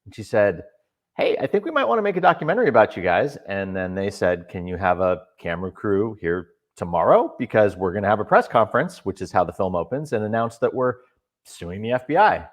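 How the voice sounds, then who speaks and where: A man, a documentary filmmaker, answering interview questions in a relaxed storytelling way, his throat a little scratchy. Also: lowish voice, brisk. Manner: relaxed storytelling, some fillers.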